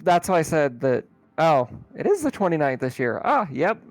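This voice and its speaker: A man's voice: fairly high pitch, big pitch swings, normal speed, dry recording, faint music behind. Casual male game master. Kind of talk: casual post-game chat.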